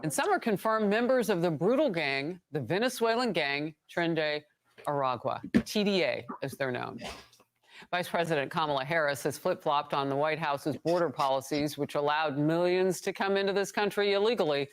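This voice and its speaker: News anchor, woman, very low voice, crisp measured read. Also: lively intonation. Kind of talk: scripted news reading.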